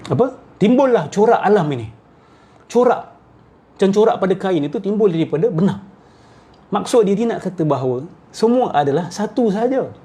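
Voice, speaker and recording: A man's voice: high-pitched, dark tone. A middle-aged man giving off-the-cuff, argumentative religious commentary, repeating his phrases and firing rhetorical questions.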